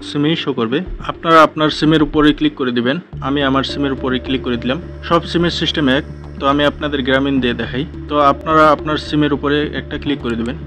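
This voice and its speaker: Fast-talking Bangladeshi man giving a tutorial. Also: mid-range voice, background noise. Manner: instructional, repetitive step-by-step phrasing. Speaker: tech tutorial host. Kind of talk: step-by-step screen tutorial narration. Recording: dull lo-fi voice-over with music behind. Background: clear background music.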